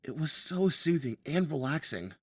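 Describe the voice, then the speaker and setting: A man's voice: a little high, very animated, moderate pace, breathy edge, no room sound. A man narrating an audio drama as a scripted storyteller.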